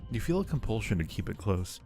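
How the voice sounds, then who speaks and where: Male game streamer, deep mellow voice, thinks out loud while reading on-screen text, music underneath. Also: sing-song, fairly quick. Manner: musing aloud, repeats phrases to himself. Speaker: live streamer. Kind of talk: live game commentary mixed with reading game text aloud. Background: background music.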